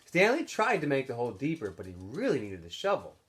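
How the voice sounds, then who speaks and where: A man reading a story aloud like a teacher to students, in expressive narration. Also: medium pitch, a little fast.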